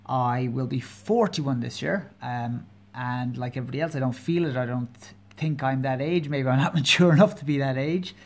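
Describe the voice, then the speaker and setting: A man of forty with a Kerry Irish accent, hosting his own podcast alone and talking in an earnest, halting way with many pauses and fillers. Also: medium pitch. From Ireland. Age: 40s. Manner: candid, openly personal. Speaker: podcast host. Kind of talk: solo podcast monologue.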